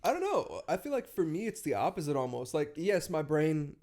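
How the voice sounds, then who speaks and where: A man's voice: on the high side, big pitch swings, fairly quick, a little mellow. A young man co-hosting a podcast in casual chat, joking and laughing, sometimes getting stuck on words. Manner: joking, laughs a lot, gets hung up on words. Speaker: podcast co-host.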